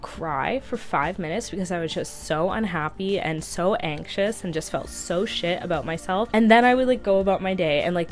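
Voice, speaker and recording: A woman in her twenties talking casually to camera in a rambling vlog, filling her speech with "like". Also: medium pitch. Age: 20s. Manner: chatty, fills speech with "like", rambling. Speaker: vlogger. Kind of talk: casual reflective vlog monologue.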